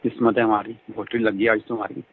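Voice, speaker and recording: A man's voice: fairly low pitch, little pitch movement, fairly quick, slight background noise. A man speaking effusively and repetitively in a flirtatious, talkative conversation over a phone line. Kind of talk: flirtatious phone conversation in Hindi mixed with English. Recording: band-limited phone call audio.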